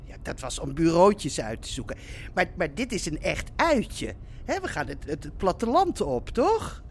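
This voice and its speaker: A woman's voice: on the low side, big pitch swings, a little slow, a little mellow, a little hiss or hum. An actress playing a cheerful, chatty widowed mother of grown children in an audio drama, gushing and repeating herself to keep the peace. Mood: excited. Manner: gushing, repetitive, placating.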